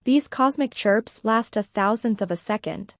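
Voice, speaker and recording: A woman's voice: medium pitch, normal speed, no room sound, clean studio sound. A woman giving a scripted read-aloud of a practice passage.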